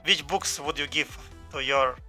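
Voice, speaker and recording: A man hosting a podcast, asking questions haltingly with lots of fillers. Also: on the high side, bright, forceful tone, faint music behind. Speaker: podcast host. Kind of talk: interviewing a guest.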